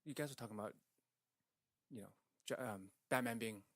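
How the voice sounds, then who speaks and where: A man's voice: medium pitch, a little fast, fairly bright tone, no room sound, studio quality. A man co-hosting a podcast, chatting casually, arguing his point with questions and some swearing. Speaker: podcast co-host. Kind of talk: casual chat.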